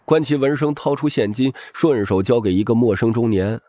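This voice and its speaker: A man's voice: medium pitch, moderate pace, dark tone. A man reading scripted, dramatic audiobook narration.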